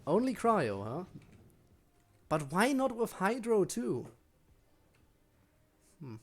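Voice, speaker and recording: A man's voice: high-pitched, very animated, takes it slowly. A man streaming a game with casual live commentary, making wry asides and talking to himself.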